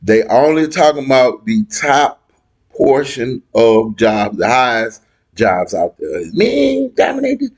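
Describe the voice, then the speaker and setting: A young man argues his side in a heated panel debate, blunt and full of slang. He talks slowly and hammers his points by repeating them. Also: medium pitch, very animated.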